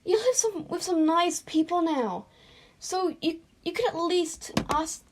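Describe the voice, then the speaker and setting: A woman's voice: very high voice, unhurried, noisy background, voice pushed up. A woman voicing a slightly strict but kindly female school counsellor who admonishes with exasperation in a story reading. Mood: exasperated, concerned.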